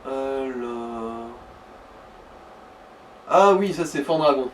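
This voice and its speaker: A man's voice: mid-range voice, animated, very slow, recorded from a distance. A man talks a player through a game in casual chat. His explanations come out in hesitant pieces. He sounds set back from the microphone, over faint background noise. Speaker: advisor to the player in a let's play.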